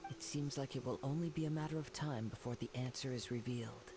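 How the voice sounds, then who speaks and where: A man playing a calm, thoughtful wanderer with measured, formal delivery in an anime video game. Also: medium pitch, soft background music, natural voice. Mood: calm, reflective.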